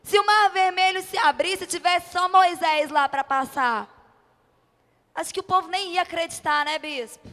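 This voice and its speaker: A woman with a high voice and a Brazilian accent preaches with passion and emphasis. She leans on rhetorical questions and short repeated phrases. Her voice rings with the long reverb of a large hall. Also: moderate pace, a bit bright. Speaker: preacher, church bishop. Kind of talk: impassioned youth-event sermon. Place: church event before a congregation.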